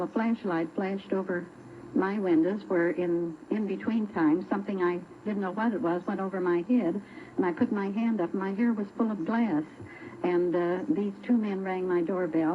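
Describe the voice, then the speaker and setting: A woman's voice: lowish voice, muffled recording, slight background noise. An American woman telling a personal anecdote as a radio show guest, in a formal, orderly way, on an old broadcast recording. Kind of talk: unhurried personal storytelling.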